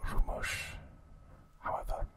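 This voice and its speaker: A man hosting an ASMR recap, speaking in a soft, breathy, unvoiced whisper with a gentle delivery. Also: slow pace, background noise. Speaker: ASMR host.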